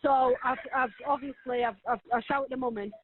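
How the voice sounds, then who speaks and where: A woman making an emergency phone call, halting and hesitant with many fillers. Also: sits high, steady tone, slight background noise. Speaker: emergency caller. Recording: tinny phone line.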